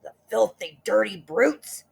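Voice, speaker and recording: A woman reading a storybook aloud, voicing a grumpy, nasty old man who yells and blusters. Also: on the high side, very animated, normal speed, gravelly, slightly rough, pitched above the performer's own voice, exaggerated voice. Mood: blustering alarm. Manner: blustering, yelling.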